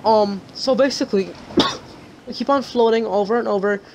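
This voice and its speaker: A sick gamer with a sore throat gives a raspy, rambling walkthrough of a game glitch. The voice sits at a middling pitch and carries a faint hiss of background noise. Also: normal speed. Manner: rambling, chatty explaining. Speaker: gaming channel host. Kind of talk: gameplay tutorial commentary.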